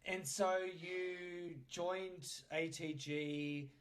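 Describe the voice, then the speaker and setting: A man's voice: high voice, steady tone, extremely slow. A young man hosting a podcast in casual interview chat, joking and bantering as he goes. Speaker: podcast host.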